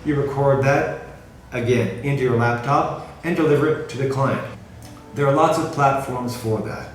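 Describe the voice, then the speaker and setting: A man's voice: medium pitch, a little hiss or hum. American man in a very echoey room. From California, USA. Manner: measured, rephrasing as he goes. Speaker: freelancer presenting himself. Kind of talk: casual talk to camera. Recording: very echoey room, mic at a distance, music behind.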